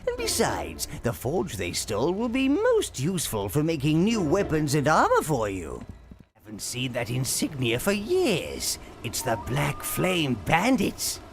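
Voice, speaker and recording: An actor playing a sly, greedy old minion who advises a dark lord in a video game, fawning and sardonic, with music behind. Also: male voice, fairly high pitch, huge pitch swings, measured pace, gravelly, exaggerated voice. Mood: scheming, scornful. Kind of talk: theatrical video game character acting.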